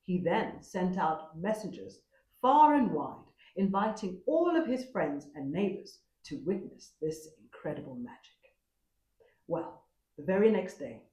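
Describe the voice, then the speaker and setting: A woman storyteller narrating a folktale expressively, with a dramatic, deliberate manner. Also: lowish voice, darkish tone, reverberant, low-quality recording.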